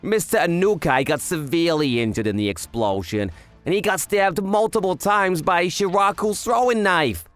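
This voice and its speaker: Man voicing a cocky high-pitched young yakuza. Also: lively intonation, moderate pace, fairly bright tone, faint music behind. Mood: serious. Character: cocky, chatty young male yakuza, self-styled genius. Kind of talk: scripted anime explainer dub narration.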